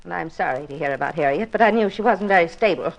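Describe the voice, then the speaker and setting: An actress playing a bitter, controlling older widow in a 1950s radio drama, haughty and blunt. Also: female voice, fairly low pitch, animated, fast talker, vocal fry, muffled recording. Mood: cool. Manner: haughty, blunt, self-pitying.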